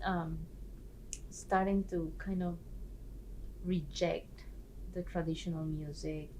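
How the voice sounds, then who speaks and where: A woman's voice: fairly low pitch, a little hiss or hum, poor audio. Woman hosting a chat. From Guatemala. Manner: animated, laughs often, searches for words. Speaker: host. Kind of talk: casual discussion.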